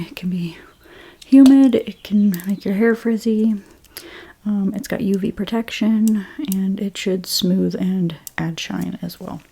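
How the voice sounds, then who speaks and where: Woman, breathy whisper, mellow tone, gentle unhurried narration, ASMR hairstylist role play. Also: medium pitch. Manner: gentle, unhurried, softly narrating each step.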